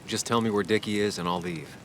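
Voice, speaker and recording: An actor playing a dogged, reserved ex-reporter investigator in a video game, speaking in terse, understated lines. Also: male voice, lowish voice, fairly even intonation, a little fast, background noise, natural voice. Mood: guarded, probing. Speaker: player-character investigator, former reporter. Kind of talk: video game dialogue and inner monologue.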